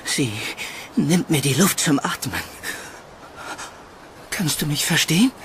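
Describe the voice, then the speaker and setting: Male actor as haunted young man, shy, charming, earnest, pleading, animated pitch, horror radio play, faint ambience. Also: takes it slowly, slight background noise, heavily acted. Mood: anxious, pleading. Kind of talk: horror audio drama acting.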